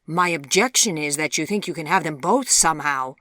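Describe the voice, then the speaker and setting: A woman's voice: low-pitched, expressive, a little fast, fairly bright tone, dry recording, studio quality. A woman voicing a stern, indignant middle-aged mother in a storybook, scolding her daughter in formal, emphatic words. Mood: accusing, stern.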